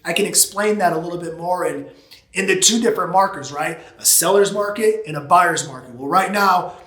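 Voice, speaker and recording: Man, 30s, hoarse, losing his voice, bright tense tone, echoey office. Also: high voice, brisk. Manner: energetic, gesturing with both hands. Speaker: real estate agent. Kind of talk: casual to-camera talk. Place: office room with glass walls.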